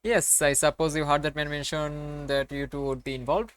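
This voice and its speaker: A man's voice: fairly high pitch, flat delivery, moderate pace, clear, resonant tone, close to an everyday voice. A man voicing an anxious mother in a visual novel who soothes her daughter and deflects questions. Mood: evasive.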